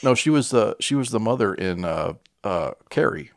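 A man with a fairly low voice that often drops into a gravelly creak. He talks as a podcast co-host in loose, slangy banter full of swearing, with very lively swings in pitch. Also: moderate pace, no room sound, studio quality. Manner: foul-mouthed, slangy, animated. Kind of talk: casual banter about movies.

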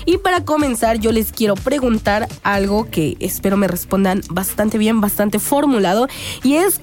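A teenage girl, co-hosting a school radio show in casual chat, slightly hoarse and joking around. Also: a little high, a little mellow. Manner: playful, jokey. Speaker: student radio co-host.

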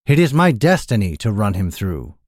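A man's voice: fairly low pitch, very animated, moderate pace, a little mellow, no room sound, studio quality. A man voicing a haughty, fervent ranger who declaims in florid, old-fashioned heroic language. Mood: haughty, angry. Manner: declamatory, florid archaic speech.

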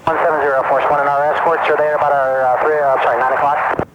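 A man's voice: fairly high pitch, little pitch movement, fast, dull audio. A man speaking as a pilot, giving terse readbacks over aircraft radio. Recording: very noisy cockpit radio transmission.

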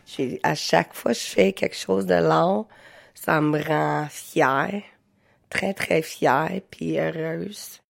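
A woman in her thirties with a Quebec French accent, telling personal stories slowly and haltingly in simple phrases. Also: low-pitched, creaky. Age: 30s. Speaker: interviewee, craftswoman. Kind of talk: personal storytelling.

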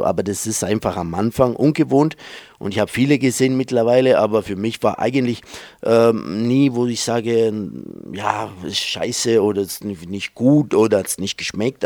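A man's voice: on the low side, a little mellow, no room sound, studio quality. A man in his forties from Italy with an Italian accent, answering interview questions in a hesitant way with many fillers. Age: 40s. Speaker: interview guest.

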